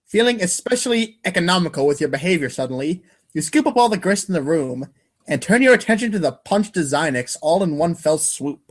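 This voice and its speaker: A man's voice: fairly high pitch, expressive, a little fast. A man reading scripted comic narration as the narrator of a reader's theater show.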